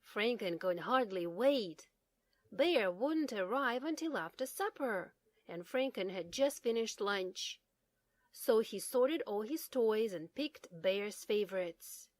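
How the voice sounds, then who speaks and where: Unhurried woman reading a storybook. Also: mid-range voice, lively intonation, no room sound. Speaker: storybook reader. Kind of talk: children's read-aloud narration.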